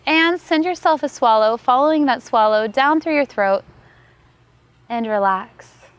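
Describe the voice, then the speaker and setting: Yoga teacher lady w a pretty high voice, calm n soothing, talking you thru a meditation. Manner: calm, soothing, unhurried instructing. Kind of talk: guided meditation instruction.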